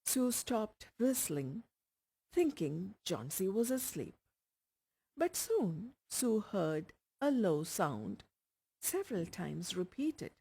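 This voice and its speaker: A woman with an Indian accent reading a short story aloud, careful and expressive. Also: medium pitch, takes it slowly, breathy edge. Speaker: story reader. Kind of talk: scripted story narration.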